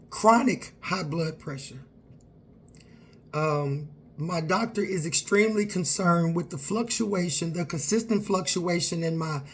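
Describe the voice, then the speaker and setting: A woman, talking candidly and earnestly to her viewers in a personal vlog. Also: on the low side. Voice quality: raspy. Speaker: vlogger.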